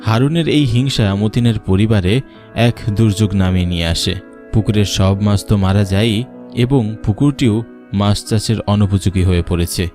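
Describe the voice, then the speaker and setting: A man's voice: on the low side, normal speed, darkish tone, soft background music. A man giving scripted narration for a cartoon story.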